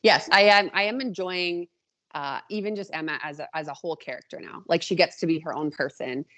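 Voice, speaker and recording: A woman's voice: fairly low pitch, fairly quick, fairly bright tone. A woman author speaking as a podcast guest, casual and reflective, informally questioning her own choices. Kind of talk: casual interview chat.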